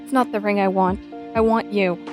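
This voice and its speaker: A woman's voice: medium pitch, fairly even intonation, brisk, music in the background, natural voice. A woman playing a devoted, eager young sweetheart in a romantic audio drama, sincere and affectionate. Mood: joyful, tender.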